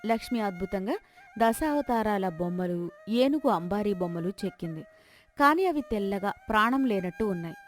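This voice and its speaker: A woman's voice: medium pitch, lively intonation, moderate pace. A woman narrating a scripted folk tale as a storyteller. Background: background music.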